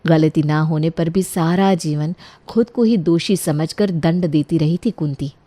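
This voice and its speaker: A woman's voice: low-pitched, a little slow, mellow, dry recording. A woman giving scripted, dramatic narration of a story.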